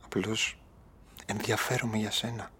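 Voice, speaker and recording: A man's voice: fairly low pitch, little pitch movement, pushed and bright, slightly rough, exaggerated voice. An actor playing a shy, apologetic man pining for a woman in a radio drama, speaking timidly and hesitantly.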